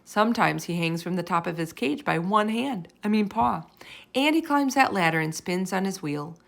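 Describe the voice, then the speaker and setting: A woman voicing an affectionate, excitable young schoolgirl in a storybook reading. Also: mid-range voice, normal speed, gravelly. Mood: excited, proud. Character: eager young schoolgirl, affectionate, chatty, sometimes grumbly.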